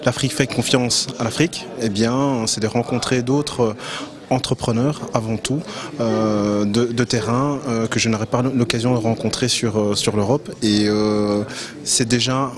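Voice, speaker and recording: A man, an entrepreneur, answering an interview in a halting way, with many fillers. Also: medium pitch, fairly even intonation. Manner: halting, heavy filler use. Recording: crowd chatter behind.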